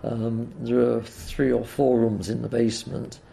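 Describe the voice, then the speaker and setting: An older man with a croaky voice, recalling the past in an interview, hesitating often with uhs and ums. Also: mid-range voice, level tone, measured pace, warm tone. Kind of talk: oral history reminiscence.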